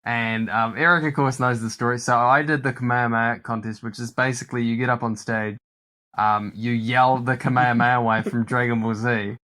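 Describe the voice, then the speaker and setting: A man's voice: medium pitch, flat delivery, a little fast, bright, forceful tone. A man from New Zealand hosting a podcast, talking casually in a rambling way with lots of fillers and laughter. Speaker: podcast host. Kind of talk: casual chat.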